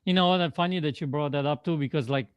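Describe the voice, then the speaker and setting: A man's voice: on the high side, fast, clear, resonant tone, no room sound. A man with a Polish accent, a coach talking as a guest in a casual interview, emphatic and fond of rhetorical "guess what" questions. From Poland.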